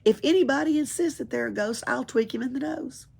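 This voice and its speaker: A woman's voice: high-pitched, brisk, creaky, a little mellow, slightly rough, voice pushed up, exaggerated voice. A woman voicing a bold, cheeky young girl in a storybook, playful and full of confidence. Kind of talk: storybook read-aloud.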